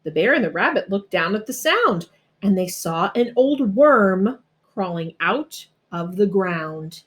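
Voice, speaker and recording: Animated woman reading a children's story. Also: mid-range voice, measured pace. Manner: expressive, dramatic storytelling. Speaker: storybook reader. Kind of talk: children's read-aloud narration in rhyme.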